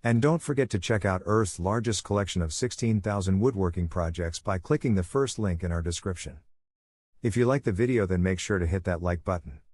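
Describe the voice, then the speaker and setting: A man narrating a scripted how-to voice-over in an even, promotional read. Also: sits low, fairly quick.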